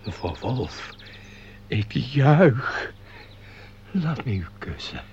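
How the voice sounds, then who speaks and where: An actor playing a sly, fawning, crooked bookkeeper in a radio play, with formal, unctuous, theatrical delivery. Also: male voice, mid-range voice, very animated, drawn-out words, darkish tone, heavily acted. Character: sly, fawning, embezzling bookkeeper, secret lover. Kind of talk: Dutch radio play acting. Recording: dull, noisy radio play recording with hum.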